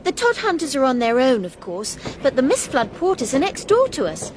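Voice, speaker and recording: High-voiced, genteel English wife. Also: female voice, huge pitch swings, moderate pace. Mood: flustered, gracious. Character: apologetic, talkative English wife, genteel and fussing. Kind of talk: radio drama acting. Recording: train rumble and noise behind.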